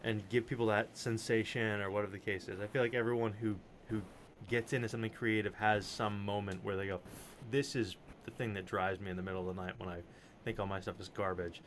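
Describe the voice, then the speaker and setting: Lowish male interviewer, some background noise. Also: steady tone, fast talker. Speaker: interview show host. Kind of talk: casual conversational interviewing.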